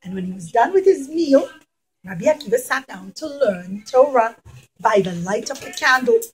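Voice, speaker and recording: A woman's voice: on the high side, animated, normal speed, rough recording. A woman telling a story to young children as an interactive puppet show, speaking emphatically, repeating phrases and putting questions to them.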